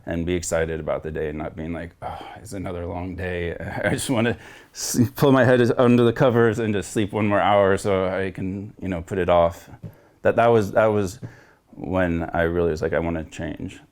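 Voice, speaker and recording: A man's voice: low-pitched, fairly even intonation, moderate pace, darkish tone. A man in his forties from Florida, sharing his personal story as a coaching client over a video call, earnest and reflective, rambling with restarts. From Florida, United States. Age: 40s. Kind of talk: candid personal testimonial.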